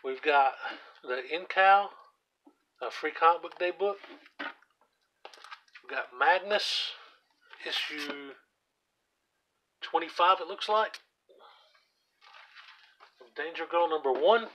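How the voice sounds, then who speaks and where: A hoarse man casually walking through his comic book collection, reading out titles with offhand asides while he sits on the floor at home. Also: on the high side, slow, pauses often, pushed and bright, slight background noise, rough recording. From US South. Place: indoors at home, seated on the floor by a shelf. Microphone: camera aimed at the shelf, speaker off screen.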